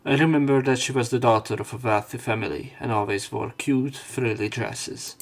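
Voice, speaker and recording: A man's voice: medium pitch, moderate pace, creaky. Man reading a visual novel aloud. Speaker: let's play narrator.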